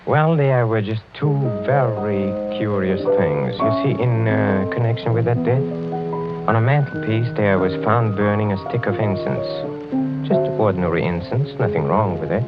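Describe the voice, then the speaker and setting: An actor playing a courtly, sinister middle-aged count in a 1940s radio mystery, speaking with measured, suave menace. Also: male voice, lowish voice, over loud music, lightly acted. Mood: ominous storytelling. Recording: muffled 1940s radio recording.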